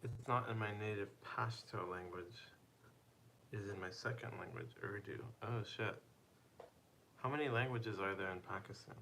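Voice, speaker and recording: A man's voice: fairly low pitch, moderate pace, bright, forceful tone, a little hiss or hum, poor audio. A young man casually chatting on a live stream, playfully teasing and asking viewers questions.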